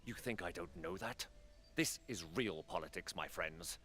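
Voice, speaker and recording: Harried, low-voiced statesman. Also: big pitch swings, measured pace, vocal fry, bright, forceful tone, exaggerated voice. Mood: exasperated, grave. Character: earnest, harried male council statesman under political pressure. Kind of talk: fantasy game voice acting.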